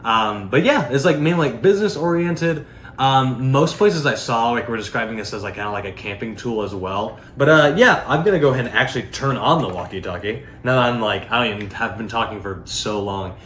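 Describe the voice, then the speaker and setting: A man's voice: medium pitch, animated, fairly quick, a bit bright. A man giving a casual, unscripted product demo, rambling informally and peppering his talk with filler words. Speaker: product reviewer. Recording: roomy echo, faint background noise.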